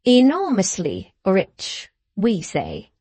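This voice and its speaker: A woman voicing a pompous, condescending middle-aged Englishman who talks slowly and over-explains, as to a deaf child. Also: fairly high pitch, animated, darkish tone, no room sound, pitched above the performer's own voice, exaggerated voice.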